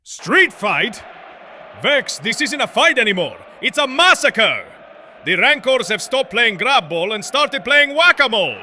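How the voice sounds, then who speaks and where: A man playing a frantic undercity sports reporter, giving excitable, urgent live commentary in a drama. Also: very high-pitched, a little slow, full character voice. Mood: alarmed. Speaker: field reporter. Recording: crowd and noise behind.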